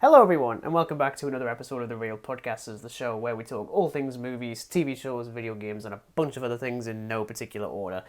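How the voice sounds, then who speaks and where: A man's voice: medium pitch, fast, few pauses. A man hosting a casual movie podcast, rambling and joking with frequent laughs. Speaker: podcast host. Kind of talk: casual chat.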